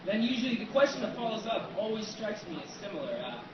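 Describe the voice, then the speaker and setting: A man from Seattle doing a stand-up set with crowd work, in a halting, filler-heavy deadpan delivery. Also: high voice. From Seattle, Washington State. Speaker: stand-up comedian. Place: small comedy club stage. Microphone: phone filming from the back of the room. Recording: echoey, dull phone recording from far off in a noisy club. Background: audience noise and laughter.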